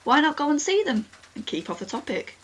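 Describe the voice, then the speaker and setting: A woman's voice: sits high, sing-song, a little fast. A young woman acting a reasonable, questioning partner in an audio drama. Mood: questioning, coaxing. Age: young adult. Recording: faint background noise, home recording.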